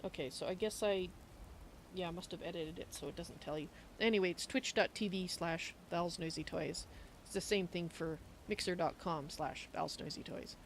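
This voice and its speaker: A woman's voice: lowish voice, normal speed, a little hiss or hum. A woman hosting a casual livestream, chatting in a rambling, self-correcting way. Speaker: streamer, host.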